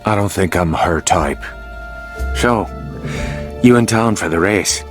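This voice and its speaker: An actor playing a brash, foul-mouthed Irish race car driver in a video game, with a gruff, cocky manner. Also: male voice, deep voice, takes it slowly. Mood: wry, flirtatious. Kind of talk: video game cutscene acting. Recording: game audio with prominent music.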